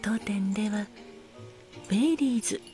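A woman speaks over music in a scripted, radio-drama style. She plays the master of a seaside cocktail bar, addressing a guest with courteous, formal politeness. Her pitch sits in a middle range for a woman. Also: fairly even intonation, moderate pace. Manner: polite, formal hospitality speech. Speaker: podcast host playing bar master. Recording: music behind the voice.

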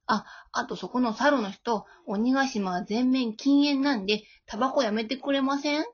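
Unthreatening office-worker ogre acted by a woman, fairly high voice, polite, matter-of-fact, muffled radio play. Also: normal speed, slightly rough. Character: mild, businesslike ogre, clock-watching workaday employee. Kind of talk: amateur comedy radio drama. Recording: dull lo-fi audio.

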